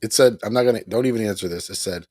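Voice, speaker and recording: A man's voice: fairly low pitch, rapid-fire, darkish tone. A middle-aged man hosting a casual home livestream, rambling and repeating himself with small chuckles. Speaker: livestream host. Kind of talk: casual live broadcast chat.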